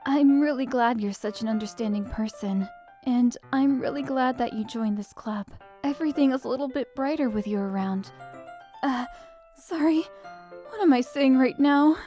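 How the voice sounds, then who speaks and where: Woman as high-voiced, shy, anxious schoolgirl. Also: normal speed, warm tone, soft background music, close to an everyday voice. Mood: flustered, happy. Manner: hesitant, stammering, polite. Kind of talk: anime visual novel fan dub acting.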